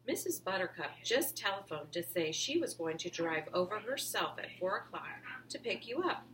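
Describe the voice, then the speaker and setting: A woman voicing a kind, gentle mother in a children's storybook reading. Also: fairly low pitch, sing-song, moderate pace, slight background noise, rough recording. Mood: gently reassuring. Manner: gentle, affectionate. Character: kind, soothing mother of a young boy.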